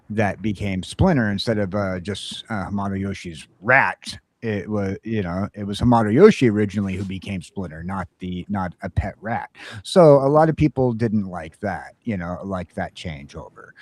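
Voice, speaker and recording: Low-voiced man hosting casual chat. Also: no room sound. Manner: chatty, steers the discussion and asks guests questions. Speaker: host. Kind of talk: casual morning-show chat.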